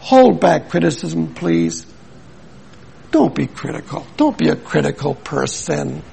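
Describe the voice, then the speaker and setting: A man's voice: on the high side, sing-song, measured pace, vocal fry, mellow, a little hiss or hum. An older man from Milwaukee preaching a sermon in an earnest, emphatic way, repeating his points and telling stories. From Milwaukee, USA.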